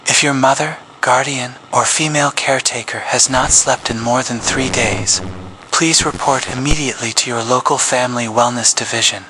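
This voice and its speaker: A man reading a scripted public safety announcement as an emergency broadcast announcer, in a grave, measured official tone. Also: medium pitch, pushed and bright, slight background noise, poor audio. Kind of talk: scripted horror narration.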